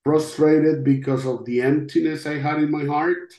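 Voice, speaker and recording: A middle-aged man from Costa Rica with a Costa Rican Spanish accent gives personal testimony in an interview, speaking in a halting, reflective way. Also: fairly high pitch, fairly even intonation, mellow. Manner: halting, reflective, with long pauses. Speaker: interview guest, podcast host. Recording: roomy, echoing sound.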